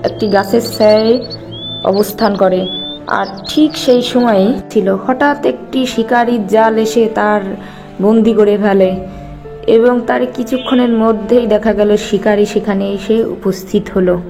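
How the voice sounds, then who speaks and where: A woman narrates a cartoon fairy tale in a fairly high voice. Her delivery is scripted storybook narration, set over clearly audible music. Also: steady tone, moderate pace, dark tone.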